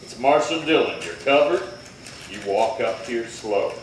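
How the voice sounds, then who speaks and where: A man's voice: mid-range voice, animated, unhurried, natural voice. Echoey, distant Western marshal played by a man. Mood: stern, watchful. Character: steady, grave Old West lawman marshal. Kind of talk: Western radio drama acting. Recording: echoey hall, distant mic, faint noise.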